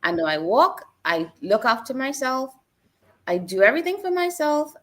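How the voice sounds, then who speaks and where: A woman's voice: high-pitched, very animated, normal speed, a bit bright. Woman, middle-aged, from Trinidad and Tobago, earnest, reflective storytelling. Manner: earnest, personal storytelling with repeated phrases. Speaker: guest. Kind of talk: reflective interview talk.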